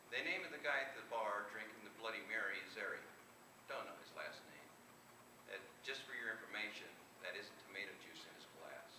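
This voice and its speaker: A man reading first-person horror fiction aloud at a live reading, in the manner of an author presenting his work. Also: on the low side. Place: indoor room at a convention reading. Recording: roomy, distant live recording with clear noise.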